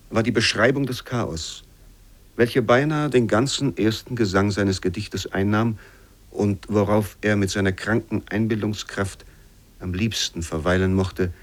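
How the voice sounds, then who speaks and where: A man reading literary prose for radio in a measured, formal storytelling manner. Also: medium pitch, close to an everyday voice. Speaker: reader of literary excerpts.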